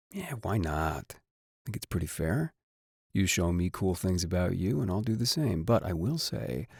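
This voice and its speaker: Man playing a low-voiced flirty band idol. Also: vocal fry, a little mellow, breathy edge, no room sound, clean studio sound, heavily acted. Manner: playful, suggestive, drawling asides. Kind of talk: scripted romantic audio roleplay.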